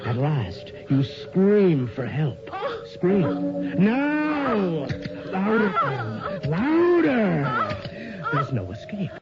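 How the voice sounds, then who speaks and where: Domineering, high-strung photographer in radio horror. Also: male voice, exaggerated voice. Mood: urgent, demanding. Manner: bossy, urgent, theatrical coaxing, barking directions. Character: driven, domineering male fashion photographer directing horror shoot. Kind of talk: 1980s radio horror drama acting. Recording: muffled vintage radio recording with loud music.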